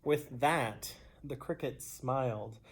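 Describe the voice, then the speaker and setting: A woman reading a children's story aloud expressively, pausing between phrases and adding chatty asides. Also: very deep voice, husky. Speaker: storybook reader.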